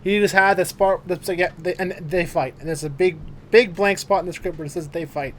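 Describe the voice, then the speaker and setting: A man with a slightly high voice talks very quickly in a casual, opinionated way, swearing freely as he chats. There is some faint noise behind him. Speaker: podcast co-host. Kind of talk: casual chat about films and games.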